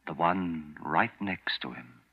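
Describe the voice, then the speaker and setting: An actor playing a composed, shrewd wealthy man in a 1940s radio mystery, speaking in a measured, knowing, dramatic way. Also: deep voice, lively intonation, breathy edge, full character voice. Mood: grave, ominous. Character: composed, shrewd wealthy man exposing his would-be murderer. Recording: dull vintage radio recording.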